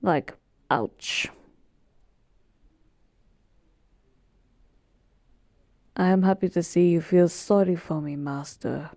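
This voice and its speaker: A woman playing a polite, weary genie bound to serve, speaking in a soft, measured, wistful way in an intimate audio roleplay. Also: fairly low pitch, dry recording, studio quality. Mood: gently rueful. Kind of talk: slow, intimate roleplay monologue to a listener.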